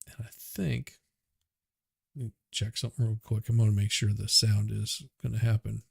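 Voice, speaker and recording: A man's voice: lowish voice, little pitch movement, gravelly, darkish tone, breathy edge, no room sound, clean studio sound. A man hosting a livestream, chatting casually and muttering as he tweaks his audio on a cheap USB microphone. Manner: unhurried, chatty, mutters while working. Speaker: livestream host. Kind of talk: casual livestream chat while adjusting audio. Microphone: cheap USB mic.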